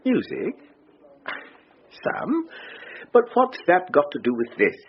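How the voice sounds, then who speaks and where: Pedantic hobby historian, indignant, very animated pitch, radio drama, muffled. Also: male voice, takes it slowly, a little hiss or hum, heavily acted. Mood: prickly, indignant. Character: prickly, pedantic male amateur canal historian.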